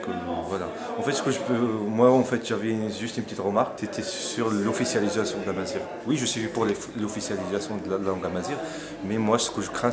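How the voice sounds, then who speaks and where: A man in his forties from Morocco, giving his view in an interview, hesitating and restarting his phrases while insisting on finishing his point. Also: on the low side. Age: 40s. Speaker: interviewee, conference attendee. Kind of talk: spontaneous debate interview. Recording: crowd noise behind.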